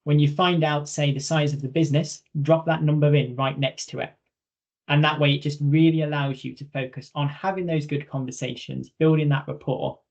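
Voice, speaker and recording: A man with a fairly high, very creaky voice presents training in a webinar. He talks informally and straight to the listener, repeating phrases for emphasis. The audio is slightly dull and muffled. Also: steady tone, a little fast. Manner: informal, direct, addresses listeners, emphatic repetition.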